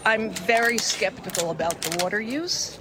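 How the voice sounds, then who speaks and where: A woman's voice: mid-range voice, normal speed, background noise. Skeptical woman at a public meeting. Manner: skeptical, firm. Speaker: local resident. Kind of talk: public comment. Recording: distant meeting recording with ambience.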